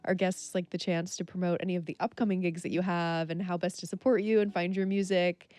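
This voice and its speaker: A woman hosts a radio music hour in a chatty, loose way, leaning on fillers as she asks questions. Her voice is smooth and clear and sits a little low. The studio mic is close and dry. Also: flat delivery, a little fast, a little mellow, dry recording. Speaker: radio host. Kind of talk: casual radio interview.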